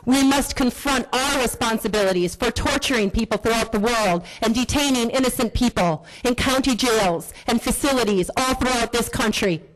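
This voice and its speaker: A woman speaking at a county board meeting, delivering an impassioned public comment in forceful, accusatory, repetitive rhetoric. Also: fairly high pitch, low-quality recording.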